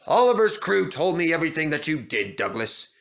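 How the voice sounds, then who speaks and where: A man voicing a genial, authoritative gentleman railway director in a children's storybook, measured and stately. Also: on the high side, sing-song, gravelly, heavily acted. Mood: announcing, amused.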